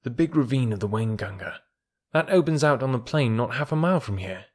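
A man's voice: medium pitch, expressive, fairly quick, lightly acted. A man voicing a bold young jungle boy who gives orders eagerly. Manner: eager, commanding calls.

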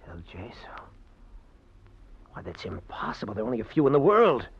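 An actor playing an earnest, respectful young American soldier and violinist in a 1940s radio drama, with an American accent. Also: male voice, on the low side, big pitch swings, normal speed, slightly rough, heavily acted. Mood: awed, eager. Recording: dull vintage radio recording.

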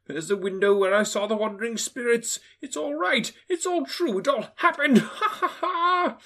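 A man reading aloud puts on an old miser with a voice pushed high and wobbly, exclaiming breathlessly as he laughs and weeps at once. The pitch leaps about wildly from line to line in this storybook telling. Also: animated, normal speed, a bit bright, full character voice. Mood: giddy, overjoyed. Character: old male miser, overjoyed and repentant. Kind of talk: audiobook character reading.

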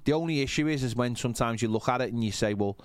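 A man's voice: mid-range voice, racing, smooth, no room sound. Male sports podcast panellist, conversational, emphatic and rambling, close dry sound. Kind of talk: casual sports chat.

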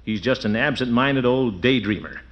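An actor playing a pompous, blustering middle-aged bachelor uncle in a 1940s radio comedy. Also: male voice, medium pitch, moderate pace, highs cut off, lightly acted.

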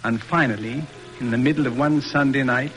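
A man's voice: medium pitch, fairly even intonation, a little fast, a bit bright, faint music behind, natural voice. An actor playing a stern, exasperated father in a formal, emphatic 1940s radio drama style. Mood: weary exasperation. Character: exasperated stern father disappointed in his son. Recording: noisy old radio broadcast recording.

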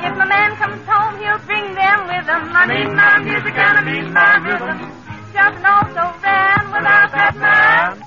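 A woman's voice: extremely high pitch, little pitch movement, normal speed, loud music behind the voice. A woman singing a 1930s swing number for radio. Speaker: singer. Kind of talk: 1930s radio swing song, call and response. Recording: dull old radio recording over band.

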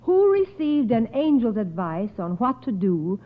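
Female 1950s children's quiz host, encouraging, expressive delivery, narrow-band old broadcast sound. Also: on the high side, unhurried. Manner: encouraging, acknowledges each answer. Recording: narrow, tinny old radio recording.

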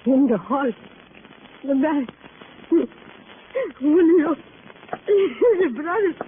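Shrill, distraught, halting woman. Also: animated, exaggerated voice. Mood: distressed. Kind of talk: old-time radio drama acting. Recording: narrow, faintly noisy old radio recording.

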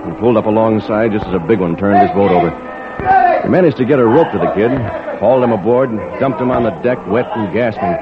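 Plain-spoken skipper, lively intonation, lowish pitch, radio drama narration, dull old recording. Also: male voice, a little fast. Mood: narrating. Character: plain-spoken, kindly fishing boat skipper who narrates his story.

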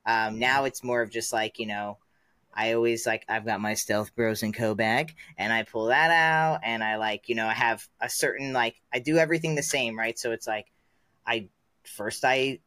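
A man's voice: mid-range voice, normal speed, pushed and bright, dry recording. Rambling man in his thirties, dry sound. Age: 30s. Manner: rambling, many "like" fillers. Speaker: podcast guest. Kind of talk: casual chat.

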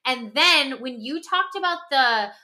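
Bright, high-pitched woman chatting emphatically. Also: animated, unhurried. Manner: emphatic, checks in often with "okay?" and "right?"; acts out imagined reactions in quoted voices. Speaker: podcast co-host. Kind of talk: animated conversational podcast talk.

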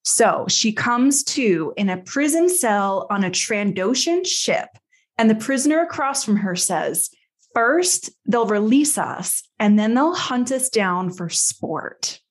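A woman's voice: a little high, expressive, slow pace. A woman podcast host recapping an episode in casual chat, with a playful, slangy way of telling a story.

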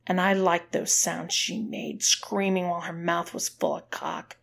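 A woman's voice: lowish voice, little pitch movement, a little slow, creaky, fairly bright tone. A woman voicing a guilt-ridden, submissive man in an audiobook story, speaking with agitated intensity. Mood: anguished, bitter.

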